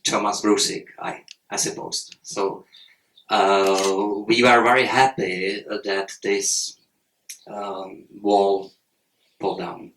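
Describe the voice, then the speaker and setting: A man in his fifties speaking at a festival panel in halting, plain English. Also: on the low side, level tone, a bit bright, distant mic. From Czechoslovakia. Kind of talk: public panel talk. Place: event hall. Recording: roomy hall from the mic, faint noise.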